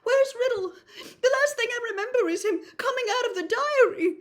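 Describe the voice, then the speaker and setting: A young schoolgirl from a storybook, frightened and full of guilt. Her voice is very high and loud, breaking into tearful, halting sobs as she wails. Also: lively intonation. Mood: sobbing, panicked. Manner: tearful, gasping, halting wailing delivery. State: crying.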